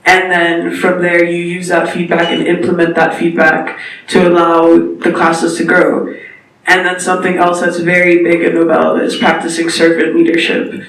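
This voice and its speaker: A man's voice: high-pitched, monotone, moderate pace, gravelly, fairly bright tone, a little hiss or hum. Earnest young man, echoey and distant. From South Africa. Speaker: intern giving a presentation. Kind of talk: informal talk at a panel event. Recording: echoey, distant from the mic, faint ambience.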